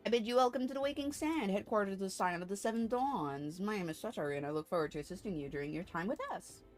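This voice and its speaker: A woman's voice: mid-range voice, fast talker, soft background music, pitched above the performer's own voice, close to an everyday voice. A woman voicing a polite, welcoming young female receptionist in a video game, formal and eager to help. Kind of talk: video game dialogue read aloud.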